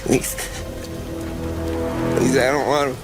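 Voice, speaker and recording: An actor playing a terrified, wounded thug begging for his life in a video game, pleading haltingly. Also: mid-range voice, little pitch movement, over loud music, lightly acted. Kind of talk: video game cutscene acting.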